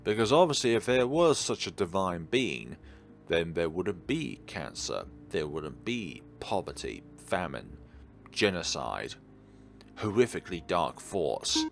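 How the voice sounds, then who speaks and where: Guy doing casual let's play commentary, low voice but really animated, rambles on earnestly. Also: a little slow, creaky, soft background music. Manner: rambling, earnest philosophical musing. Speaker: video game let's player. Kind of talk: casual commentary while playing a visual novel.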